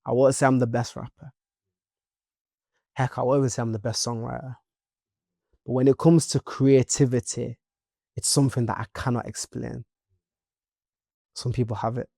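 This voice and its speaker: Young Leeds man, gravelly, dry close sound, playful and chatty interview guest. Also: medium pitch, flat delivery, moderate pace, pauses often, mellow, no room sound. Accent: Leeds English. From Leeds, England. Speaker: musician guest. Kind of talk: casual interview chat.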